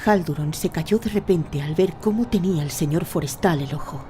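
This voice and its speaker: A woman narrator tells a fantasy story as scripted radio drama. Her voice is fairly low and dark, with a mellow tone over a bed of music. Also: moderate pace.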